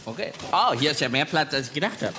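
A man with a very animated delivery, co-hosting a kids' show on stage. He talks casually, exclaiming and puzzling out loud. Faint noise sits behind the voice. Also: on the high side, quick pace. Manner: playfully puzzled, thinks aloud, exclaims. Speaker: co-host and test subject on a children's science show. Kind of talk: casual, spontaneous chat on stage. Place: live on stage at a podcast festival.

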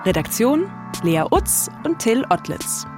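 A woman's voice: fairly high pitch, sing-song, slow pace, over music. A woman with a standard German accent delivering scripted narration as a podcast host.

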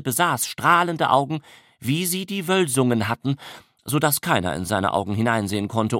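A man reading literary narration in a measured, matter-of-fact way. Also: medium pitch, fairly bright tone, no echo, studio quality.

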